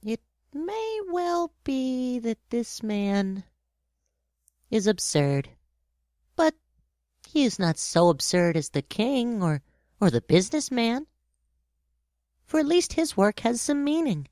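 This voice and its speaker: A woman's voice: fairly high pitch, big pitch swings, warm tone, dry recording, clean studio sound. Woman playing an earnest, lilting little prince. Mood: thoughtful. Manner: deliberate, innocent, questioning. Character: curious, earnest little prince, a young boy. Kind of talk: acted storybook audio drama.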